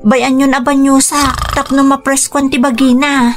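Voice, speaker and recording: High, earnest young grandchild played by a woman. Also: little pitch movement, moderate pace, faint music behind, lightly acted. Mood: concerned, dutiful. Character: devoted, dutiful young grandchild caring for drunken father. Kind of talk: radio drama acting.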